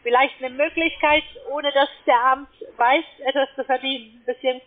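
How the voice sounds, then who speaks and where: High-voiced woman on a tinny phone line. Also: fairly even intonation. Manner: hesitant, halting, persuading. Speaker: call centre trading salesperson. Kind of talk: unscripted sales phone call. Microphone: telephone.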